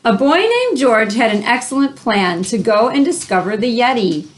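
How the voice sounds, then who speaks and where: A woman's voice: on the high side, sing-song, normal speed, rough recording. A woman reading a children's picture book aloud, adding chatty asides and simple explanations for young listeners. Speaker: read-aloud host.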